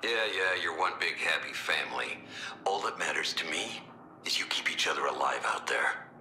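An actor playing a gruff, stern military squad leader in a video game, speaking in terse, curt warnings. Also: male voice, a bass voice, expressive, normal speed, bright, forceful tone, roomy sound, a little hiss or hum, heavily acted. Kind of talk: dramatic video game voice acting.

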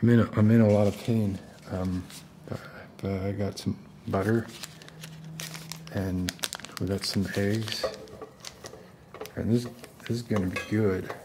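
A man in his thirties doing an ASMR roleplay as an unstable, friendly loner, soft-spoken and rambling in halting mutters. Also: on the low side, fairly even intonation, background noise. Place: basement-like room with concrete walls.

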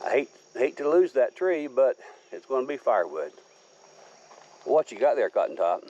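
A man's voice: medium pitch, very animated, moderate pace, a little mellow, a little hiss or hum. Easygoing man on his land. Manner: easygoing, rambling, folksy. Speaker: homesteader showing his land. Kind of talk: casual walk-around narration. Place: outdoors on rural wooded land. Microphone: handheld camera. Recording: slightly dull outdoor camera audio. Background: crickets and insects.

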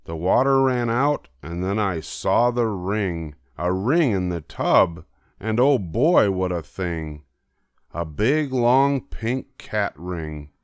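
An older man reads a children's storybook at an unhurried pace. His low voice swings expressively and lingers on key words, recorded close and dry. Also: clean tone, no room sound. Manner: animated, drawn-out emphasis. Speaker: storybook reader.

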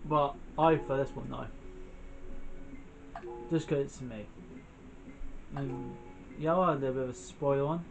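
A man's voice: mid-range voice, moderate pace, gravelly, pauses often, poor audio. A young man giving casual, off-the-cuff commentary as he plays a video game, often muttering to himself. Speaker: gamer, channel host. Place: indoors, in front of a TV screen. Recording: game music behind the voice. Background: video game music.

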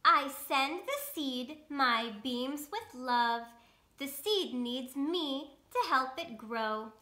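A woman voicing a proud sun from a picture book in a measured, declaring way. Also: high-pitched, animated, bright, forceful tone, exaggerated voice. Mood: proud, loving. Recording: roomy, echoey home recording.

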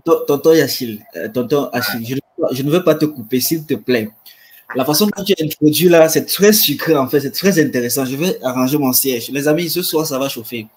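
Young man, livestream host, enthusiastic, casual, mid-pitched voice, emphatic repetition, video call. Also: brisk, a little hiss or hum. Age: 20s. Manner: enthusiastic, repeats phrases for emphasis. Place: indoor room with wood-panelled wall. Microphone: webcam video call.

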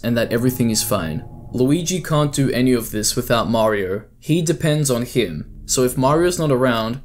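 A man's voice: medium pitch, darkish tone. A man reading scripted explanatory narration for a video essay, as its narrator.

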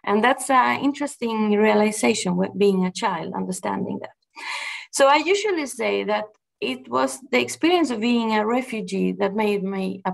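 A middle-aged woman with an Argentine Spanish accent gives a personal talk, her voice carrying a gravelly edge. She speaks thoughtfully, often pausing and restarting before she finds her words. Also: mid-range voice. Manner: thoughtful, halting with frequent restarts and fillers. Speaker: gardener and project manager giving a talk.

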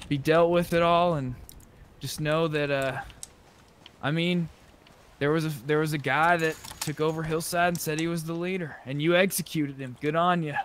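A man with a fairly high voice chats casually while roleplaying in an online game. There is some faint noise and game ambience behind him. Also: steady tone, moderate pace, a bit bright. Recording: game voice chat, noisy background.

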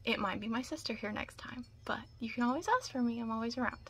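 A young woman doing an ASMR roleplay in whispers and soft talk, chatting casually and reassuringly close to the mic. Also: on the high side, fast, husky. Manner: casual, chatty, reassuring makeup artist patter.

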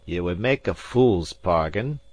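A man voicing a proud, bold exiled Highland gentleman, speaking forcefully in an audiobook. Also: lively intonation, a little slow, no echo. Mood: defiant. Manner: forceful, defiant declamation. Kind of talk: audiobook character voice.

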